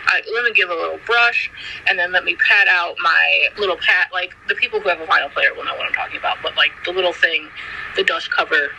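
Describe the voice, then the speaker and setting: Bright, gravelly woman telling a story. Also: on the low side, fast, slight background noise, rough recording. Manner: animated, self-mocking. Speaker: friend and guest. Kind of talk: casual storytelling chat.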